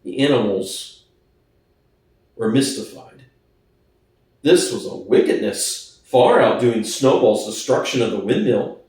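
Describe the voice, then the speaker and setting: A man's voice: medium pitch, lively intonation, slow, pauses often, darkish tone. A man narrating a book as a dramatic reading. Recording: echoey room, distant from mic.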